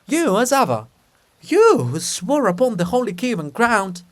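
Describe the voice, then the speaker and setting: A man's voice: sits high, very animated, measured pace, voice pushed up. A man voicing the monument of a proud, lovesick princess in a theatrical, pleading manner. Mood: impassioned pleading.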